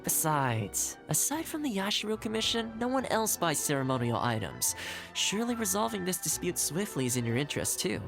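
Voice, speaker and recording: An easygoing, earnest official in a video game. He negotiates reasonably in a conversational voice with lively pitch movement. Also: a little fast, creaky, over music, heavily acted. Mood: persuasive. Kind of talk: video game character acting.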